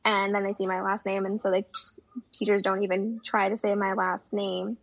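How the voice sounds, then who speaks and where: A woman's voice: medium pitch, little pitch movement, normal speed, gravelly. A young woman talking as a guest in a casual conversation, with a chatty manner full of fillers. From United States. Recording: dull lo-fi audio.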